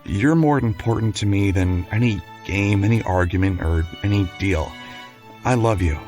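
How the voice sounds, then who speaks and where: A man's voice: on the low side, normal speed, darkish tone, soft background music, close to an everyday voice. A man playing a devoted, argumentative lawyer husband, earnest and dryly playful, in an audio drama. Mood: earnest, tender. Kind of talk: natural audio drama acting.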